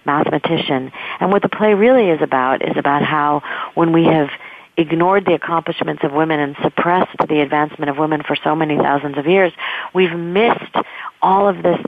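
A woman's voice: on the low side, gravelly, dry recording. A woman author being interviewed, talking earnestly and expansively in long, flowing lists. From United States. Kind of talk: phone interview. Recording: dry tinny phone line.

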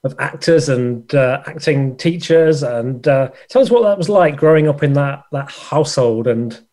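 A man's voice: fairly high pitch, normal speed, mellow. A man hosting a casual interview, asking questions in a hesitant, rambling way. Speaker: interviewer, host.

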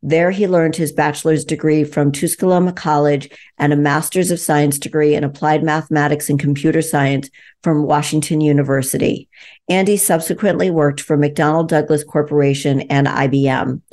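A woman's voice: low voice, steady tone, normal speed, darkish tone. A woman hosting an interview, reading the guest's introduction and then asking earnest questions that clarify his points. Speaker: podcast host. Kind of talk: interview host.

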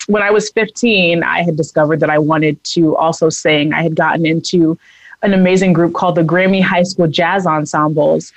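Chatty lower-voiced woman singer. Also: dry recording, rough recording. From United States East Coast. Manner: bright, laughing, chatty. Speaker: singer and guest. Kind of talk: casual interview talk.

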